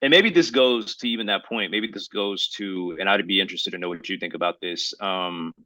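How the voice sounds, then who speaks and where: Man, lowish bright voice, dry close sound, casual podcast chat, halting and rambling, slangy. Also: no echo, poor audio. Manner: halting, rambling, hedging delivery; slangy, addresses listener as bro. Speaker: podcast co-host.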